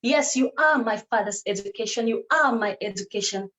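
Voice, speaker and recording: A woman's voice: mid-range voice, fairly quick, a bit bright. A woman from Cameroon performing a spoken word poem in a rhythmic, declamatory way, with repeated refrains.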